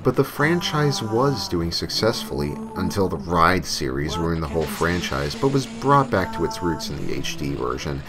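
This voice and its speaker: A man's voice: sits low, sing-song, moderate pace, hardly any pauses. A man reading a scripted first-person creepypasta story as its narrator. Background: music.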